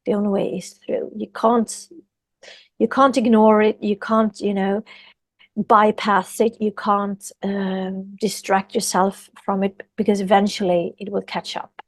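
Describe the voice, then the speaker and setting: A woman's voice: medium pitch, flat delivery, unhurried, no room sound. A woman from Sweden with a Swedish accent, a yoga teacher talking earnestly as a podcast guest and repeating phrases for emphasis. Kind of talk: podcast interview.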